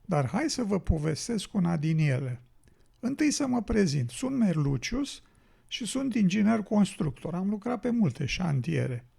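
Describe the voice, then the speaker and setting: A man playing a construction engineer who narrates an incredible tale in a radio drama, confiding in listeners with a gravelly, husky voice. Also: high voice, sing-song, dark tone, full character voice. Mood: storytelling. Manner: confiding storyteller addressing listeners. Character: storytelling male construction engineer recalling an incredible encounter.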